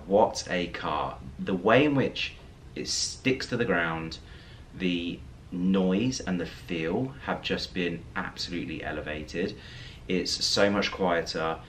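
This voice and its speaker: A young man talking casually to camera in a hotel room, his voice slightly raspy after a late night. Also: sits low, slight background noise. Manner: relaxed, talks with hands. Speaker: vlogger. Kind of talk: casual direct-to-camera vlog talk.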